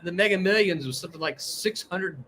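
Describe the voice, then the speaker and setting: A man co-hosting a casual livestream chat from an outdoor balcony over a video call, talking loudly and laughing often as he reads viewer comments. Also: high-pitched, animated, brisk, noisy background. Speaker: podcast co-host. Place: outdoor second-floor balcony. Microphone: earbuds on a video call.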